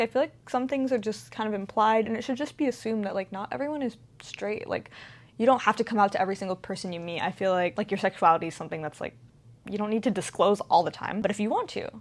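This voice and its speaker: Woman, twenty, casual vlog chat, rambling, lots of filler words, mid pitch. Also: quick pace. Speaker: vlogger.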